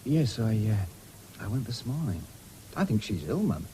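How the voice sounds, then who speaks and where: An actor playing an earnest young farm lad in a British radio drama, halting but determined. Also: male voice, lowish voice, big pitch swings, warm tone. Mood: concerned. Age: young adult. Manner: hesitant, stumbling over words. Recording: noisy old radio broadcast recording.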